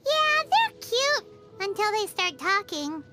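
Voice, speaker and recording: Teeny childlike game fairy, super high squeaky voice, excitable n pouty, music in the back. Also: female voice, slow, exaggerated voice. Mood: chatty, curious. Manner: refers to self in third person, exclamatory. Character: tiny excitable girlish fairy companion, pouty and nosy. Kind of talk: animated game voice acting. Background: background music.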